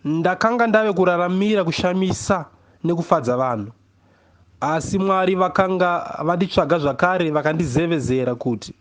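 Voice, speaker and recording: Higher-pitched Zimbabwean man reading literary prose. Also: brisk. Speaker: audiobook reader, poet. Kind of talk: literary narration read aloud.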